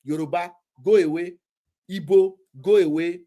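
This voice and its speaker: Nigerian man, high-pitched, podcast host, forceful debater. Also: fairly even intonation, moderate pace, muffled recording. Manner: forceful, questioning, emphatic. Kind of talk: heated casual debate.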